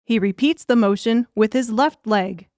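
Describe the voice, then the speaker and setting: A woman's voice: a little high, normal speed, darkish tone, no room sound, clean studio sound. A woman narrating a novel as a scripted audiobook.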